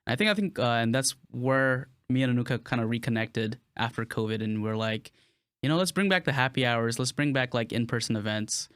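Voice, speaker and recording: Laid-back young guy on a podcast, smooth clear voice, dry mic. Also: mid-range voice, a little fast, a bit bright, no echo. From Nepal, raised in Texas. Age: young adult. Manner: easygoing, jokey, laughs often. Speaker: guest, community group founder. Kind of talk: casual chat.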